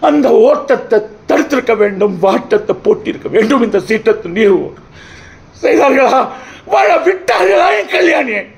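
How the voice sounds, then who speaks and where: A man playing a wronged, defiant accused brother pleading his case in court, declaiming in theatrical old Tamil film oratory with dramatic pauses. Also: high-pitched, gravelly, a little mellow. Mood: furious accusation.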